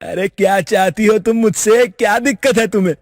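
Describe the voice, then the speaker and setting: A woman's voice: mid-range voice, normal speed, in a large hall, pitched below the performer's own voice, lightly acted. A woman voicing a rude, dismissive young brother in a cartoon story. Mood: annoyed.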